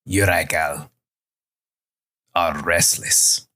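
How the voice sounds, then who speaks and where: A man's voice: low voice, fairly even intonation, extremely slow, bright, forceful tone, heavily acted. A man voicing a grim tavern conspirator who murmurs ominously in an audio story.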